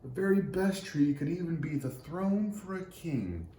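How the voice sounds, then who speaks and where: A man's voice: on the high side, lively intonation, measured pace, mellow, pitched above the performer's own voice. A man voicing a scrawny, wistful little tree in a children's storybook. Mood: dreamy longing. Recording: roomy echo, faint noise.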